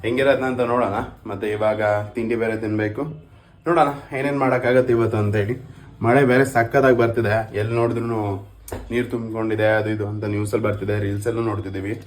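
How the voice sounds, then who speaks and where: A man's voice: fairly low pitch, steady tone, fast talker. A man with a Karnataka Kannada accent chatting informally to viewers as a vlogger. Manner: informal, addressing viewers as guys. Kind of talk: casual vlog chat.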